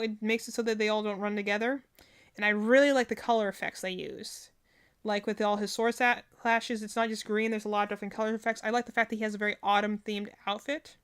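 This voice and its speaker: A woman's voice: fairly high pitch, clear, resonant tone. A woman streamer giving casual, rambling reaction commentary on video game trailers, thinking aloud as she goes.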